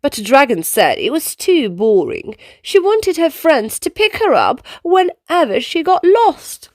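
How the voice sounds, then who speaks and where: Female storybook reader, high voice, very lively intonation, expressive teaching delivery for children, dry close sound. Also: a little slow, no room sound. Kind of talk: read-aloud storybook narration.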